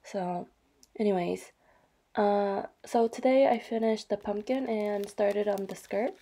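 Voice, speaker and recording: A woman talks through her craft projects in a soft, chatty voice-over with fillers and small apologies. Her voice is mellow and dark, with a gravelly edge. She sounds slightly hoarse from a cold. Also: medium pitch, a little slow. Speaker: vlogger. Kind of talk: casual show-and-tell narration.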